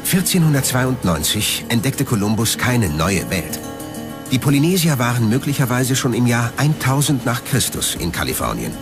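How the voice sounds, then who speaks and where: A man's voice: mid-range voice, big pitch swings, normal speed, music in the background. A man reading scripted documentary narration as the narrator of a history programme.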